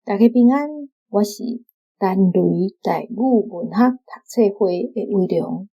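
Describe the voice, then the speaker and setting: A woman's voice: medium pitch, a little slow, vocal fry, mellow, breathy edge, poor audio. A woman with a Taiwanese accent, reading a scripted book reflection aloud as a guest on a programme. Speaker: guest reader from a book club.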